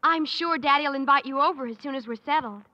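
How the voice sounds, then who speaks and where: An actress playing a sweet, earnest teenage daughter in a 1950s radio sitcom. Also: female voice, high-pitched, fast, lightly acted. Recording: dull old radio broadcast.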